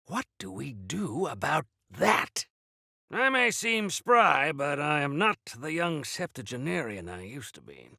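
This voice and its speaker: Spry, gravelly old rebel elder, wildly animated. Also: male voice, on the high side, a little slow, no room sound, studio quality, heavily acted. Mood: wry, grave. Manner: grandiloquent, animated, mischievous. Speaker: video game voice actor. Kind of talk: theatrical video game character dialogue.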